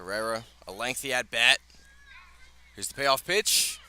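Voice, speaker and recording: A man's voice: medium pitch, unhurried, sharp tone. A man calling baseball play-by-play live on radio in a conversational way, with casual asides. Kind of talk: live radio sports commentary. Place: outdoor ballpark. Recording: clear ambient outdoor noise.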